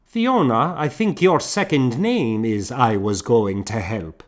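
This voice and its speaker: A man's voice: medium pitch, lively intonation, slow pace. A man voicing a curt, disapproving, bossy woman in an audiobook novel. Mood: dry. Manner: curt, scolding.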